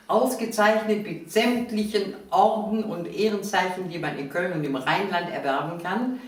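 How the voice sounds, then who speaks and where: Slow-paced woman hosting in a very echoey room. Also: on the low side, slight background noise. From Cologne, Germany. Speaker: host of a local talk show. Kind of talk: spoken introduction and interview chat. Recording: very echoey room, distant microphone.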